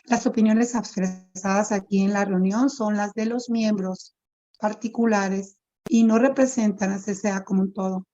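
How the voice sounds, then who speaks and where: A woman moderating a support group meeting, reading the opening statements and prayer in a measured, formal way over a video call. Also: medium pitch, steady tone, warm tone, muffled recording.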